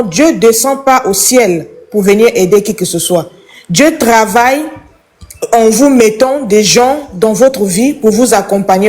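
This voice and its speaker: A woman's voice: fairly high pitch, darkish tone. A woman from Congo, calling into a live chat and giving an impassioned, preachy opinion that repeats its points.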